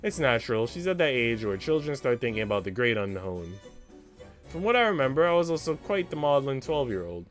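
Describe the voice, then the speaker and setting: Man voicing an arch, flirtatious servant woman, animated. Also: mid-range voice, fairly quick, soft background music, lightly acted. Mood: arch, boastful.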